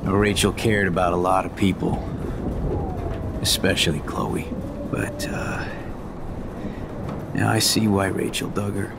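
An actor in a video game playing a rough, grieving drug dealer in his thirties, with an American accent and a gravelly, halting, emotional delivery. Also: steady tone, heavy background noise. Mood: sad, reflective. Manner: halting, emotional, coarse-mouthed. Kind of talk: dramatic video game acting. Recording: rumbling storm ambience behind the voice.

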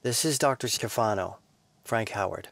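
An actor playing a calm, earnest researcher who explains things helpfully in an audio drama. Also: male voice, on the low side, normal speed, gravelly, no echo. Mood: earnest, concerned.

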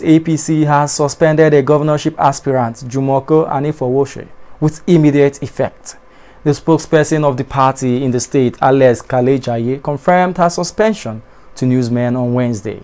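Newsreader, young adult male, slightly higher voice, formal studio delivery. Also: a little mellow. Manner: measured formal newsreading, dipping at sentence ends. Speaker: newscaster. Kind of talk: read news bulletin. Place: TV studio in front of a backdrop.

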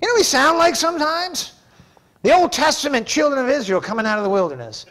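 A man's voice: extremely high pitch, expressive, fast, a bit bright. A middle-aged man preaching a sermon in a church as pastor, speaking in an emphatic, folksy, conversational way. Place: church sanctuary, preaching from a pulpit.

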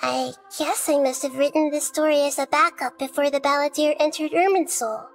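Game role: wise, composed little goddess, soft girlish high voice, measured storybook delivery, music underneath. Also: fairly even intonation. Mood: calm, thoughtful. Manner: measured, thoughtful, articulate. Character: small childlike female deity, gentle, wise, composed. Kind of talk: video game dialogue and fairy-tale narration.

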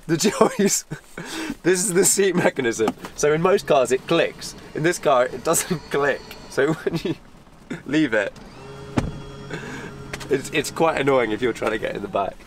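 A man's voice: a little high, animated, a little slow. A man giving an informal, understated car vlog, talking casually as he drives. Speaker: car vlogger and presenter. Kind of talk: casual chat to camera while driving. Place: inside a moving car. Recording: loud car engine and road noise.

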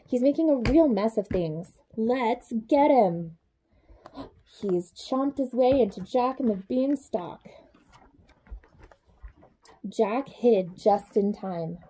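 A young adult woman reading a children's picture book aloud in an expressive way, speaking to young children. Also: mid-range voice, breathy edge, poor audio. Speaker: childcare supervisor reading a bedtime story.

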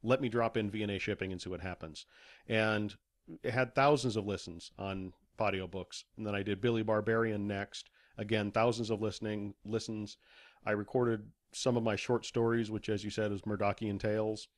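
A man's voice: on the low side, steady tone, moderate pace. A man speaking casually as a podcast guest, a writer and voice actor telling anecdotes. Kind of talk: casual anecdotal interview chat.

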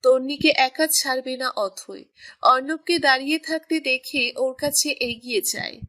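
A woman with a high-pitched, bright voice reads a romantic story as narrator. Her delivery is scripted storytelling, setting out scenes between lines of dialogue. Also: moderate pace.

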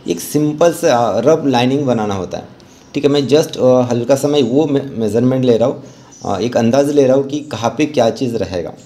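Mellow-voiced Indian man teaching drawing. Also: medium pitch, moderate pace. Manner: instructional, encouraging. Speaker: rangoli art teacher and channel host. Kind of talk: informal step-by-step drawing tutorial.

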